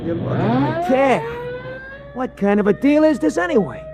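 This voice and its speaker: A streetwise American cabbie talks in a high, wildly swinging voice. He is colloquial and excitable, in 1940s radio style, with clear vehicle noise behind him. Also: moderate pace, heavily acted. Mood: rattled. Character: excitable, streetwise male cab driver sidekick. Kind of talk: 1940s radio drama acting. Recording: noisy old radio, vehicle rumble.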